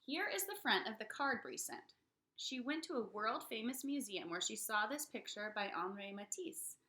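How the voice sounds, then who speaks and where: Animated, bright-voiced woman reading a storybook. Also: fairly high pitch. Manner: expressive, dramatic reading to young children. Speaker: read-aloud storyteller. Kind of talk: animated children's picture book read-aloud.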